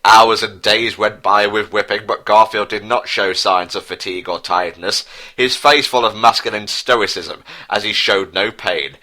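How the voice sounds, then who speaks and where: A man's voice: on the low side, fairly even intonation, normal speed, pushed and bright. A man giving a mock-dramatic reading of a story as narrator, with amused asides. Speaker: dramatic reader and commentator.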